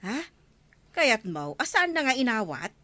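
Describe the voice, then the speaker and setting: A woman's voice: on the high side, very animated, a little slow, bright, forceful tone, no room sound, exaggerated voice. A woman with an Ilocano accent acting as an indignant, nagging villager in a radio comedy drama. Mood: questioning, nagging.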